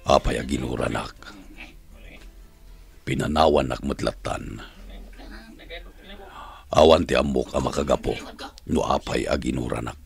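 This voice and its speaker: A man playing a gruff, lovelorn older prisoner in a comedic radio drama, gravelly voiced, with a Filipino Ilocano accent. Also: fairly even intonation, unhurried, long pauses, full character voice. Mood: wistful, brooding. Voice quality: gravelly, very deep. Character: gruff older male prisoner, lovelorn and teasing, called uncle. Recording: faint music bed.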